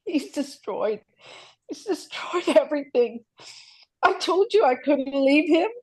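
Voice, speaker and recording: Woman acting desperate mother, high voice, pleading, hesitant repeated phrases, fearful, film drama table read. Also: lively intonation, a little mellow, rough recording. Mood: distraught. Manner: halting, pleading, repeats herself. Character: desperate, frightened mother obeying her child's kidnapper.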